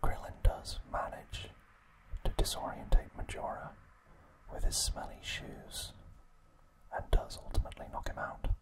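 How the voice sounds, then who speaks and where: A man hosting an ASMR video, giving a trivia recap in a soft, breathy whisper with deliberate phrasing and pauses. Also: low-quality recording.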